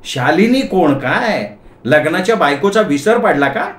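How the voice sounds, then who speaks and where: Guy doing a bossy wife in a comic story, high grating voice, snappy, echoey. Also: brisk, close to an everyday voice. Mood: snappish. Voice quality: rough, grating. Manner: snappish, demanding. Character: shrill, bossy, nagging wife on the telephone.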